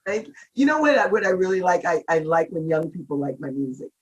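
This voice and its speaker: Middle-aged woman, deep gravelly voice, relaxed and jokey interview guest. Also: quick pace. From Seattle, United States. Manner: chatty, laughs often, jokes about herself. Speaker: guest singer. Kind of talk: casual interview chat.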